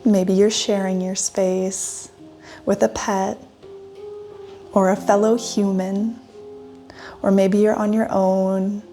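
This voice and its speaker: A woman leading a guided meditation in a gentle, soothing manner with long pauses. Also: mid-range voice, flat delivery. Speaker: meditation guide, yoga teacher. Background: soft instrumental music.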